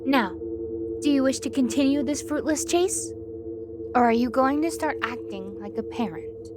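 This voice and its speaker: Higher-voiced woman playing a proud young unicorn. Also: moderate pace, music in the background, lightly acted. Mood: stern, commanding. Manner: formal, precise wording. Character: proud young female unicorn, articulate, stern, guarded. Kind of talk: scripted audio drama acting.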